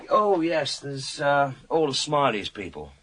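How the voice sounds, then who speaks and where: An actor playing a weary, sardonic police inspector in a radio crime drama, blunt and dry in manner. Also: male voice, medium pitch, big pitch swings, measured pace, a bit bright, no echo. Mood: awkward, wry.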